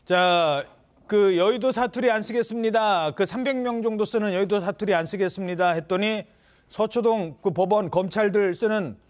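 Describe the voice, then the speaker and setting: A man hosting a television political talk show, setting up topics and putting questions to his panel in an animated conversational way. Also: sits high, normal speed. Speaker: news talk show host.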